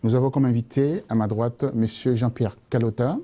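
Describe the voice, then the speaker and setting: A man's voice: medium pitch, fairly even intonation, dark tone. A man hosting a studio debate, introducing the guests and asking questions with many stumbles and restarts. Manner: halting, many restarts and fillers. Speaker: debate host.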